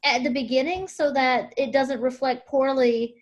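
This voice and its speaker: A woman's voice: high-pitched, fairly even intonation, normal speed, highs cut off. A woman hosting a podcast roundtable, summarizing points reflectively and passing the floor to guests. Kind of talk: moderating a roundtable.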